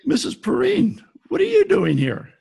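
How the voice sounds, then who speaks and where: A man playing a wisecracking, slangy, tough-talking private detective in 1940s radio drama style, with a gravelly voice. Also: extremely high pitch, wildly swooping pitch, moderate pace, background noise, heavily acted. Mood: flustered. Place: performer's home, recorded remotely. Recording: home video-call recording with music behind.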